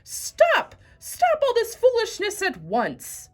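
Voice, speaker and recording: Woman putting on an angry booming god, loud and scolding, pitch all over the place. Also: wildly swooping pitch, takes it slowly, faint music behind, heavily acted. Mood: furious. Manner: stern, scolding. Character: furious booming male God.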